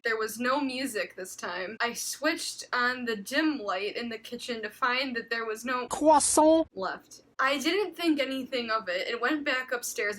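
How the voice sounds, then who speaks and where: A young woman reading fanfiction aloud as a comedy co-host, animated and mock-serious, with casual banter. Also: on the high side, rough recording. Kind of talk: dramatic comic story reading with casual banter.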